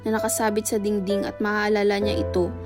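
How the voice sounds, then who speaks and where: A woman's voice: mid-range voice, little pitch movement, a little fast. Woman narrating over prominent music. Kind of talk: scripted dramatic narration.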